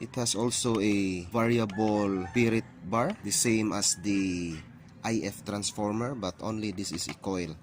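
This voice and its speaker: A man narrating a hands-on repair tutorial, explaining plainly step by step with non-native phrasing. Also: lowish voice. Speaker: repair channel host. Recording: clearly noisy recording with ambient hiss.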